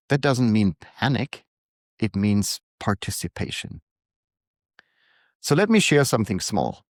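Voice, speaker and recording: A middle-aged man, speaking calmly and reflectively in a prepared monologue to his readers. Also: medium pitch, no echo, studio quality. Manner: calm, unhurried, reflective. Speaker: newsletter author and coach.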